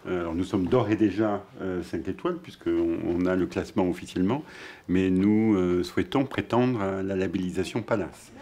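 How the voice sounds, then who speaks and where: A man's voice: deep voice, normal speed, mellow, slight background noise. A man speaking as a hotel director giving a formal, hesitant welcome speech to new staff. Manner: hesitant, formal, many fillers.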